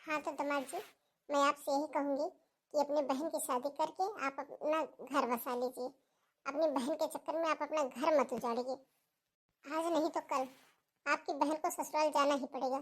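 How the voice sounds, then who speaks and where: A woman's voice: high voice, steady tone, moderate pace, natural voice. A woman voicing a protective, blunt middle-aged mother in a cartoon family drama, speaking in a firm, plain way. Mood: firm, insistent.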